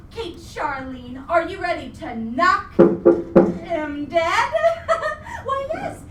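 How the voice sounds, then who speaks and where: A vengeful female ghost in a live radio play, high and swooping in pitch. The delivery is theatrical and taunting, full of demonic glee. It comes across echoey and distant from the mic. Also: noisy background, exaggerated voice. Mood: maniacal glee. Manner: theatrical, taunting, pun-heavy.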